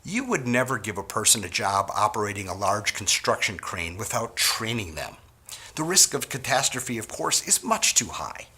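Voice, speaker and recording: A middle-aged man from Wisconsin giving a scripted sales training talk to store owners in an earnest, measured way. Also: on the low side, very animated, sharp tone, slightly rough.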